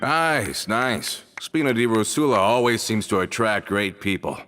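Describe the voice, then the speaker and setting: An actor voicing an earnest, gentlemanly gang member in an expressive, dramatic video game style. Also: male voice, lowish voice, unhurried, slight background noise. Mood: curious, friendly. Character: earnest gentlemanly man, loyal gang member back from prison. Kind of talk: dramatic game dialogue acting. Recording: long reverb, music underneath.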